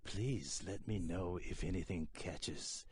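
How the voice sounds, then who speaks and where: An actor playing a creepy, cryptic merchant of macabre curios in a video game, speaking slowly and deliberately in riddles. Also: male voice, low-pitched, a little mellow, slightly rough, heavily acted. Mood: sinister, cryptic. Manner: slow, deliberate, riddling speech.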